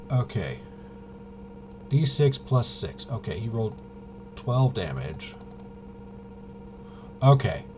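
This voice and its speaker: A man thinking aloud through a tabletop game walkthrough, casually muttering to himself in a halting way and trailing off. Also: on the low side, big pitch swings, noisy background. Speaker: solo tabletop RPG player demonstrating gameplay.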